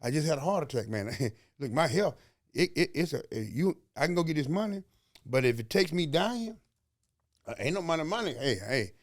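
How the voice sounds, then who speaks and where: A man's voice: on the high side, expressive, moderate pace, no room sound. Folksy Southern man, dry close sound. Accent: Southern US, Alabama. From Alabama, USA. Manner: folksy, preachy, full of rhetorical questions and slang. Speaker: podcast host. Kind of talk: motivational podcast talk.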